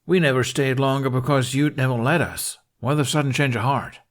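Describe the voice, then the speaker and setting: A man voicing a gruff, exasperated but caring male guardian in a storybook reading, scolding and sardonic. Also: medium pitch, fairly even intonation, brisk, pitched above the performer's own voice, natural voice. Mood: curious.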